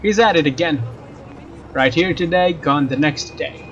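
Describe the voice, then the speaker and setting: A man's voice: fairly high pitch, moderate pace, a bit bright, a little hiss or hum. A man hosting a let's play, reading game narration aloud in a casual way and sometimes rereading phrases. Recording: slightly dull mic, game music behind. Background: game music with guitar.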